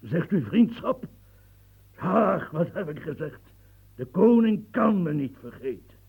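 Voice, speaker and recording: A proud, restless old marshal in a radio play, his voice pitched high yet gravelly and husky, swinging widely up and down. He stammers and repeats words as his temper rises. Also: sing-song, takes it slowly, phone-quality sound, full character voice. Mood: bitter, worked-up. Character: aging embittered male French marshal, proud and restless.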